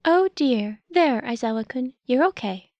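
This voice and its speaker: A woman voicing a small male animal principal in an audiobook, prim, chatty and gently soothing. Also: high-pitched, lively intonation, unhurried, dry recording, highs cut off. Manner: prim, reassuring, fussy. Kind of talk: audiobook character voice.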